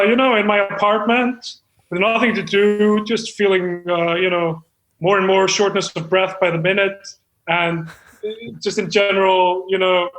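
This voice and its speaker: A man plays a hapless amateur screenwriter with a high voice. He is earnest and insecure, and he stumbles haltingly through his sentences in an improvised comedy. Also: fairly bright tone, echoey room, rough recording. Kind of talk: improvised comedy character talk.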